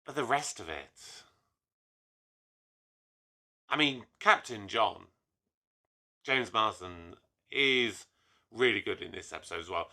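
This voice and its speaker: A young man chatting to camera in a casual solo review, slightly hoarse from a cold, animated and full of asides. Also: on the low side, slow pace, pauses often. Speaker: YouTube reviewer.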